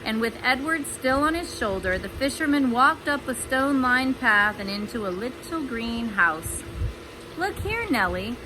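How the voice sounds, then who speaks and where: Woman reading a kids book out loud, kinda high voice, chatty, noise behind her. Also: lively intonation, normal speed, hardly any pauses. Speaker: storybook reader. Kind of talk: children's read-aloud with chatty asides.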